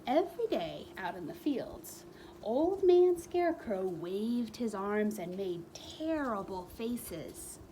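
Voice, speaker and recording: A woman reading a children's book aloud as a storyteller, expressively, with dramatic pauses and emphatic repetition. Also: mid-range voice, sing-song, mellow, slight background noise, rough recording.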